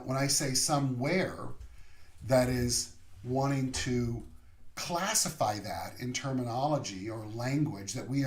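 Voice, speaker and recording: A male minister speaking at an unhurried pace, leaving long pauses and letting his phrases settle. His voice sits at an ordinary middle pitch. The sound carries a noticeable room echo. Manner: slow, reflective, pausing between phrases. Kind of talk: sermon. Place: room, via online service. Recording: roomy echo.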